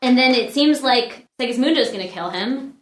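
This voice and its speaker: A woman's voice: a little high, lively intonation, normal speed. Colloquial woman in a noisy, echoey room. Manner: colloquial, acts out quoted lines. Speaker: actor in a play reading. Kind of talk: casual, animated storytelling chat. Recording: echoey room with background noise.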